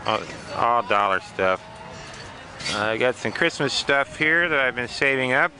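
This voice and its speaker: A man's voice: mid-range voice, animated, unhurried, over music. A middle-aged man narrating a casual handheld vlog about storage auctions, dry and matter-of-fact, recorded outdoors on a camera mic. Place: outdoors at a swap meet and a storage unit.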